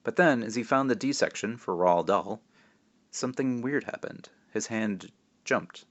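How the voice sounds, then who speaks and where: A man with a fairly low voice reads a children's story aloud. His delivery is expressive and wry, and he breaks from the narration for casual, self-interrupting asides. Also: unhurried, dull audio. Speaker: author reading aloud.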